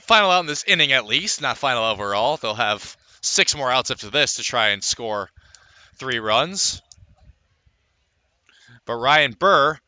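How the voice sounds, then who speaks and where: A man calling live baseball play-by-play as a radio announcer, conversational between pitches and lifting his delivery for the action. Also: no room sound. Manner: lifts into excitement on plays, conversational between pitches.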